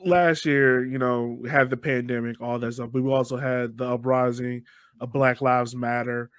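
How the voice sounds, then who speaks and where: A man's voice: medium pitch, level tone, moderate pace, dry recording. Casual male podcast interviewer, dry sound.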